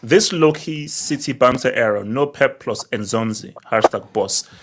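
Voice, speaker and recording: A man's voice: medium pitch, a little slow, noisy background. A man hosting a casual football discussion, bantering and often repeating words, with some stuttering. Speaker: host of a football discussion show. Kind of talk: casual animated group banter.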